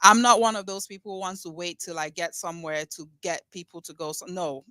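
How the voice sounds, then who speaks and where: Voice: mid-range voice, fairly even intonation, a little fast, dry recording, studio quality. A podcast host talking casually and at length, with frequent restarts and light laughter. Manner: warm-hearted, rambling, with frequent restarts and laughs. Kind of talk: casual conversational interview.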